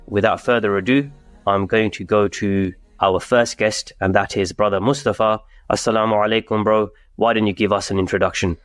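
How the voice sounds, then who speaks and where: Casting: male podcast host, low voice, dry close sound, measured and formal delivery. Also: fairly even intonation, no room sound. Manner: measured, formal welcoming tone with Arabic greetings. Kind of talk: podcast hosting with scripted intro.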